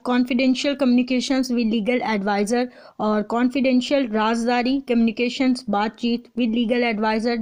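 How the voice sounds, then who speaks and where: A woman's voice: a little high, a little mellow. A woman with a Pakistani accent lecturing in an instructional way, explaining step by step and restating each point. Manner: instructional, repeats and rephrases points. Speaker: law lecturer. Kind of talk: explanatory lecture, reading clauses and translating them phrase by phrase.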